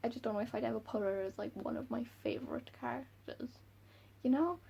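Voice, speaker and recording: Brief: Welsh woman in her twenties, book vlogger, husky and gravelly, chatty with frequent giggles. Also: on the high side, brisk, dark tone. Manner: giggly, chatty, self-deprecating. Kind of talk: casual chat to camera. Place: room at home by a window.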